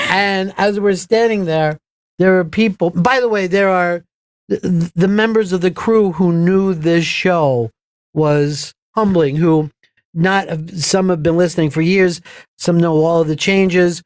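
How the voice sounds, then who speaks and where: A man hosting a radio talk show, telling a rambling story with halting restarts into a studio microphone. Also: sits high, clear, resonant tone, dry recording. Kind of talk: casual radio chat.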